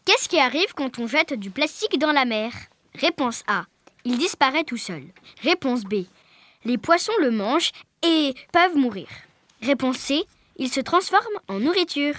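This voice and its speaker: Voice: sits high, animated, unhurried, a bit bright. A child reading a scripted radio presentation as a young presenter. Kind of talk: scripted radio quiz presentation, read aloud.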